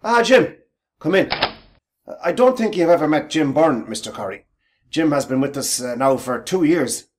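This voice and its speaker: A man's voice: fairly high pitch, animated, moderate pace, noisy background, natural voice. An actor playing a mild, apologetic manager in a radio play, polite and hesitant in his speech. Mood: polite, uneasy.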